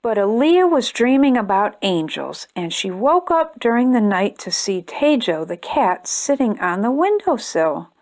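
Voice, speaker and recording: A woman hosting a storytime channel, reading a children's picture book aloud in a gentle, expressive way. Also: mid-range voice, measured pace, few pauses. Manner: gentle, expressive reading, brighter and chattier when addressing viewers. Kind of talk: read-aloud storytelling for kids.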